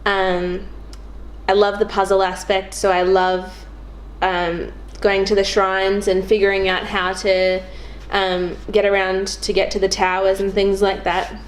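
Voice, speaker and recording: A woman's voice: medium pitch, monotone, unhurried. A young woman chatting casually about video games as a guest on a show. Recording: clearly noisy background.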